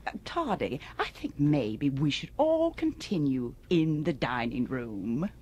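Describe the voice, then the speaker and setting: An actress playing a genteel older hostess in a radio drama, polite but pointed and controlling. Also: female voice, sits low, big pitch swings, slow pace, darkish tone, exaggerated voice. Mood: brisk, gracious.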